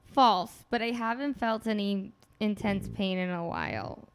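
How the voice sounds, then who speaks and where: A woman co-hosting a podcast, chatting casually and answering quiz questions with quick, joking quips. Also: medium pitch, clear, resonant tone. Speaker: podcast co-host. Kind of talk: casual chat.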